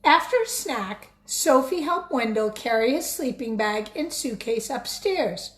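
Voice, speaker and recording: A woman teacher reading a picture book aloud expressively, pausing to put questions directly to young listeners. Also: on the high side. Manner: expressive, addresses children directly.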